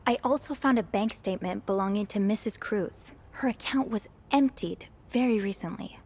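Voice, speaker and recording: An actress playing a young, curious and polite hotel maid in a video game. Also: female voice, mid-range voice, moderate pace, darkish tone, breathy edge, close to an everyday voice. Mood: intrigued.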